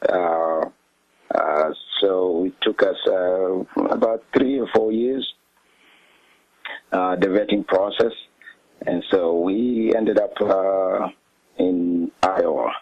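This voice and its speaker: A middle-aged man with a South Sudanese accent, recounting his history as an interview guest in a hesitant, halting way full of pauses. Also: sits low, no room sound, muffled recording.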